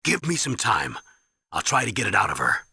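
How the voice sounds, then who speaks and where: An actor playing a gruff, older army colonel in a video game, raspy, troubled and defensive. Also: male voice, on the low side, wildly swooping pitch, fairly quick, sharp tone, no room sound, exaggerated voice. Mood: defensive, evasive. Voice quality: raspy, gravelly. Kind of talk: dramatic video game voice acting.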